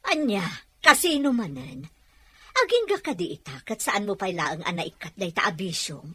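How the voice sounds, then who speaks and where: A woman playing a stern, nagging elder sister with a husky, sharp, scolding delivery in an audio drama. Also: medium pitch, sing-song, moderate pace, heavily acted.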